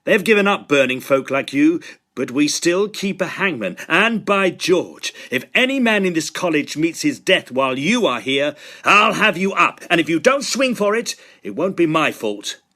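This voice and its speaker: An actor playing an earnest, blunt young Victorian student in an audio drama, with emphatic old-fashioned phrasing. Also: male voice, fairly high pitch, sing-song, moderate pace, bright, forceful tone. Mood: angry defiance. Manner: emphatic, old-fashioned turns of phrase, some hesitant stammering. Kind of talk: period audio drama acting.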